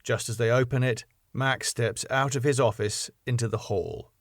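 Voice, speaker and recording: A man's voice: medium pitch, fairly even intonation, normal speed, no room sound, clean studio sound. A man reading out scene headings and stage directions from a script as scripted narration. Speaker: writer and script reader.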